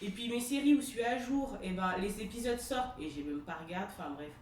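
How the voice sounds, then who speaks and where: A bubbly woman guesting on a podcast, laughing a lot in easy chat, her voice a little high. She is heard from some distance in a room with heavy echo. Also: fast talker, a little mellow, slight background noise. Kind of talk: casual chat. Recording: very echoey room, distant mic.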